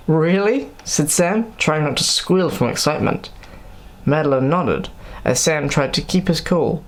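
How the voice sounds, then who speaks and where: A man's voice: a little high, unhurried. A man reading scripted audiobook narration as the story's narrator.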